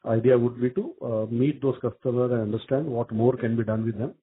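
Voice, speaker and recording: A man with an Indian accent answering as a company executive on an earnings call, speaking haltingly with many repeated filler phrases. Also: medium pitch, fairly even intonation, slightly rough. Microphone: telephone conference call. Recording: muffled narrow phone line.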